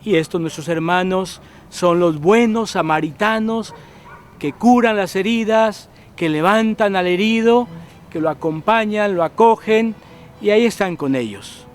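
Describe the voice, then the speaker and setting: A man from Guatemala hosting a religious program, calmly exhorting his viewers. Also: high-pitched, unhurried. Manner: calm, exhortative, addresses viewers. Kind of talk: pastoral presenter commentary.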